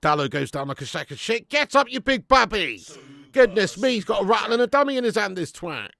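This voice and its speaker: A man hosting a live fan watch-along of a football match at home, chatting to viewers with sweary banter and bursting into loud cheering at chances. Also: high-pitched, big pitch swings, fairly quick, vocal fry. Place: at home in front of a camera.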